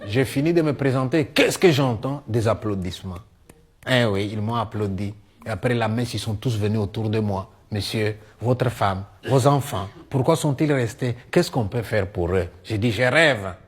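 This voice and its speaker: A middle-aged man with a Congolese French accent tells comic stories in a one-man show, acting out dialogue in an animated way. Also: fairly low pitch, normal speed, breathy edge. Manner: animated storyteller, acting out dialogue, punctuating with "hein". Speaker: stage storyteller and comedian.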